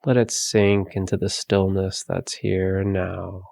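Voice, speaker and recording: Slow, low-voiced man guiding meditation. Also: creaky, no room sound. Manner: calm, soothing, gentle instruction with long pauses. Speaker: meditation guide. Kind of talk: guided meditation.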